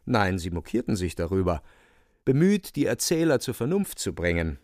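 An actor narrating a satirical story for a radio play in an ironic, theatrical, emphatic manner. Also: male voice, deep voice, sing-song, normal speed, a little mellow, no room sound, clean studio sound. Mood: wry, ironic. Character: wry, theatrical male storyteller of satirical novel.

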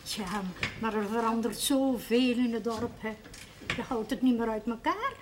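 A woman's voice: fairly high pitch, a little slow, natural voice. An actress playing a talkative, inquisitive, pious village woman in a period television drama. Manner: inquisitive, gossipy. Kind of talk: naturalistic Dutch television period drama acting. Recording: noisy old TV soundtrack.

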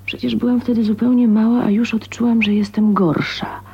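Breathy, husky, lonely young spinster. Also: female voice, fairly high pitch, little pitch movement, dark tone. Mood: aggrieved. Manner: introspective, halting, half-whispered. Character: bitter, lonely, ailing 29-year-old spinster heroine, soliloquizing. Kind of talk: 1980s radio drama monologue. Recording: dull, muffled radio recording.

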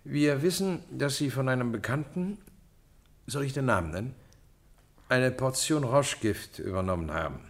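An actor playing a calm, middle-aged male police detective in a radio drama, measured and dryly ironic. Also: mid-range voice, gravelly, husky, heavily acted. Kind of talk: German radio drama acting.

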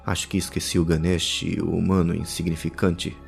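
A man's voice: low voice, level tone, a little fast, creaky. A man playing a gleeful, megalomaniac alien president in an audio drama, gloating theatrically. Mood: gloating, scheming. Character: gleeful megalomaniac male villain, alien Time Lord president. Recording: loud music under the voice.

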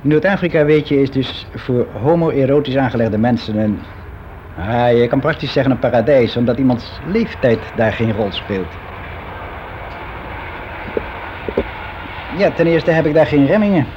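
An actor playing a weary, resigned office clerk around sixty, confiding in a halting, reflective way in a naturalistic radio play. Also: male voice, medium pitch, close to an everyday voice. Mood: candid. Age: 60s. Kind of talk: naturalistic radio drama monologue. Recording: dull, noisy radio play recording.

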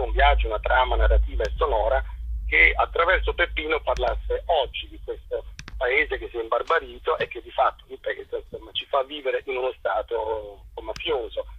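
A man with a husky voice, speaking as a journalist in a radio interview, with insistent, rhetorical, halting phrasing. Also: mid-range voice. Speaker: journalist and stage narrator, interview guest. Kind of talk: impassioned phone interview about a stage show. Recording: dull, lo-fi telephone line.

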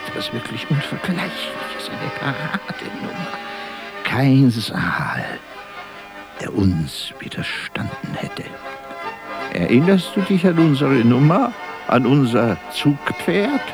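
Gravelly, slow-talking old vaudevillian. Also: male voice, medium pitch, sing-song, music in the background. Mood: boastful, excited. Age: older. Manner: theatrical, halting, breaking off and repeating himself. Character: faded old vaudeville actor, vain, rambling, forgetful, bitter. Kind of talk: radio drama monologue.

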